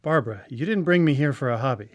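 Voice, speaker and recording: An actress playing a friendly but skeptical scientist, wry and questioning, in conversational live radio drama acting. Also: female voice, extremely low pitch, expressive, fast, no echo. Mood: skeptical, musing.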